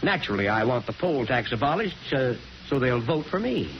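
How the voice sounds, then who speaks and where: An actor playing a wry, principled small-town American mayor in a 1940s radio drama, speaking in a folksy, informal way. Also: male voice, medium pitch, very animated, a little fast. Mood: dry, challenging. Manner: folksy, drawling informal speech. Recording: muffled, hissy 1940s radio recording.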